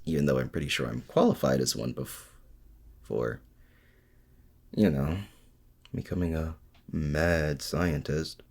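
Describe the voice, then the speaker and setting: A man's voice: rumbling low, creaky, exaggerated voice. A man acting in an intimate audio roleplay as a lonely, awkward supervillain and mad scientist, speaking in a hesitant, sincere way. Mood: awkwardly chatty. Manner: hesitant, halting, softly sincere.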